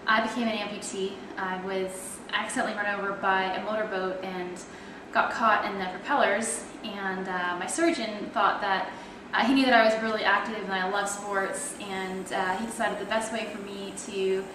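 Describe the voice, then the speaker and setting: A woman's voice: medium pitch, fairly even intonation, moderate pace, reverberant, background noise. A young woman with a Canadian accent, chatting casually in a video blog and explaining things with plenty of fillers. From Toronto, Canada. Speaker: athlete video blogger.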